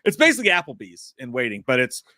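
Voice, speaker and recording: A man's voice: mid-range voice, wildly swooping pitch, quick pace, sharp tone, no room sound. A man in his thirties co-hosting a movie livestream, reading viewer comments, laughing often and swearing freely. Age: 30s.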